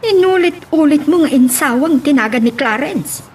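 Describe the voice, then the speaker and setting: A woman's voice: high voice, expressive, moderate pace, darkish tone, slight background noise. A woman acting a meddling, scolding middle-aged mother of a grown son in an Ilocano audio drama.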